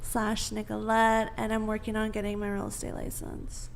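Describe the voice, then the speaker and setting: A woman's voice: mid-range voice, completely flat delivery, moderate pace, vocal fry, a little hiss or hum. A young woman, a podcast guest, introducing herself in an upbeat promotional way.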